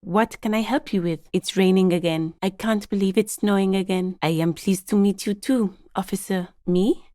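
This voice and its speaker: A woman's voice: mid-range voice, fairly even intonation, normal speed, warm tone, no room sound, lightly acted. Woman playing a dry, guarded gardener, close and studio-dry. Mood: guarded, polite. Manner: understated, dry, polite but curt. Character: guarded, matter-of-fact woman gardener, politely dismissive. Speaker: video game voice actor. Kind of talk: game dialogue voice lines, understated and conversational.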